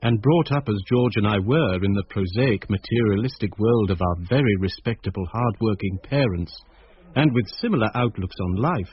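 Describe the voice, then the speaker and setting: An actor playing a thoughtful schoolmaster who narrates a radio drama in a measured, literary, British voice. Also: male voice, lowish voice, breathy edge. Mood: reflective, ominous. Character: thoughtful mathematics schoolmaster recalling uncanny events. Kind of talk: scripted first-person radio drama narration. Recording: muffled radio drama, loud music behind.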